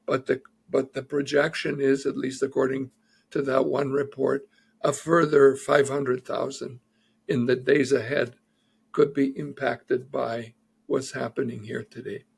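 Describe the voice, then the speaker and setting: An immigration lawyer, a man, lays out legal changes methodically and backs them with numbers. His voice sits at a middle pitch but is husky and gravelly, with a rough edge. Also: level tone, measured pace. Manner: methodical, cites figures and reports. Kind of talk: explaining legal news in an interview.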